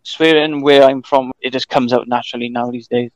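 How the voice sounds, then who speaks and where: A man with a Welsh accent, from Wales, talking calmly and carefully as a podcast guest. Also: mid-range voice, no echo, dull audio. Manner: calm, measured, holding back swearing. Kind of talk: casual chat.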